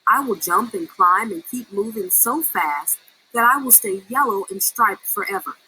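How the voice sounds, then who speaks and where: A woman voicing a restless, defiant young male snake in a storybook, excitable and exclamatory. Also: medium pitch, moderate pace, slight background noise, low-quality recording. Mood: determined.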